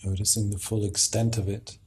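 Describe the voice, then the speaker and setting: A man leading a guided meditation as a teacher, speaking in an unhurried, halting way with long pauses. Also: on the low side, level tone, a little mellow, husky.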